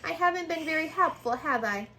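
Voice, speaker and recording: A woman voicing an excitable, pleading young girl mouse who loves to dance, in a storybook reading. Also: high-pitched, fairly quick, a little hiss or hum, voice pushed up. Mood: upset.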